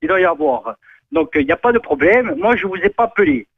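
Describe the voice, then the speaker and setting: A man's voice: sits high, lively intonation, vocal fry, slightly rough. Muffled phone voice, agitated man. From Corsica, France. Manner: emphatic, repetitive, quick to anger. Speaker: prank call victim. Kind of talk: heated phone argument. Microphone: telephone. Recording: dull lo-fi phone line.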